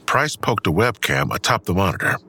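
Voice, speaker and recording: A man with a raspy voice delivering scripted dramatic narration for an audio drama. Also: low-pitched, big pitch swings, fairly quick, bright, forceful tone, exaggerated voice.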